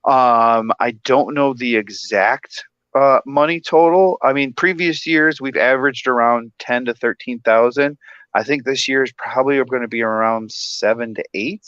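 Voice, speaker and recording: A man's voice: medium pitch, moderate pace, fairly bright tone, clean tone, no room sound. A man in his thirties from Michigan chats as a guest, laughing often and restarting his sentences. His audio comes through dull and muffled, with a dry sound. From Michigan, USA. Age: 30s. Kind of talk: casual chat.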